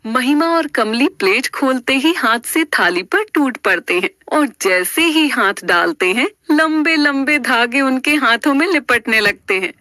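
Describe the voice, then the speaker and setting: A woman's voice: high voice, lively intonation, measured pace, few pauses, bright, forceful tone, no echo, clean studio sound. A woman storyteller giving dramatic scripted narration of a story.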